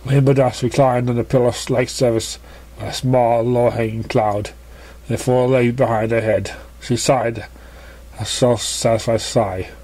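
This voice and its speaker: Mellow, halting male story reader. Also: mid-range voice, flat delivery. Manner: halting reading with misread words. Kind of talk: scripted narration.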